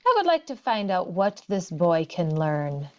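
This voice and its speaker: A woman voicing a wise, courteous old male teacher in a storybook, speaking in a formal, measured way. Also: fairly low pitch, sing-song, muffled recording. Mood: thoughtful.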